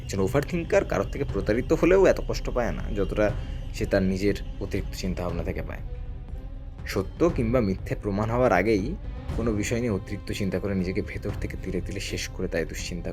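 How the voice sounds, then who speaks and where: A man's voice: on the low side, darkish tone. A man playing a protective, lecturing older brother who is a psychiatrist in an audio drama, emphatic and admonishing. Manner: emphatic, admonishing, explaining at length.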